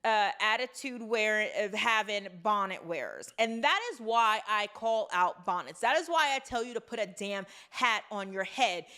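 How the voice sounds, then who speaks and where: A woman's voice: medium pitch, normal speed, few pauses, sharp tone. A woman giving an emphatic, lecturing opinion monologue that speaks straight to the listener. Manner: emphatic, lecturing, direct address. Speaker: social commentator in a played clip.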